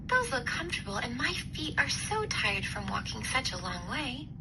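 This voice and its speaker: Woman playing curious, picky storybook little girl: high voice, stretched exclamations, clear noise behind. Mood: pleased, contented. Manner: expressive, drawn-out exclamations.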